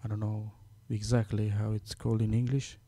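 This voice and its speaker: A man with a Romanian accent, Romanian his first language, talking through a software tutorial step by step, halting with many fillers as he works. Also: on the low side, level tone, dry recording. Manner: halting, many fillers, thinking aloud while working. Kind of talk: screen-recorded software tutorial.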